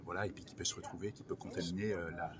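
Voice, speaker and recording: Over noticeable ambient noise, a male researcher speaks in a fairly low voice. He gives technical answers as an interviewee, halting and repeating phrases as he explains. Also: steady tone. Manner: hesitant, repetitive, technical. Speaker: research director in biology, interview guest. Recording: loud ambient background noise.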